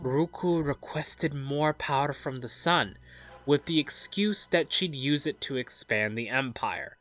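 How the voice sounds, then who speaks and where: A woman narrating video game lore in a measured, storybook-like way. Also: sits low, fairly bright tone. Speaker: let's play narrator. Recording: game music behind the voice.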